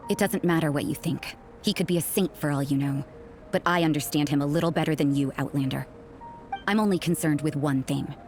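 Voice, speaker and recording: A woman playing a stern, cynical young nun in a video game, guarded and suspicious, with a cool, sardonic, deliberate delivery. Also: sits low, darkish tone, soft background music. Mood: wary, firm. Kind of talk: English video game character dialogue.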